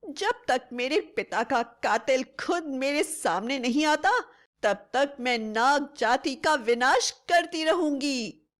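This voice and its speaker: A vengeful young daughter played by a woman with a high voice and very slow pace. She declaims unyielding vows in cartoon fairy-tale style. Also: animated. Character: vengeful, unyielding daughter bent on destroying snakes.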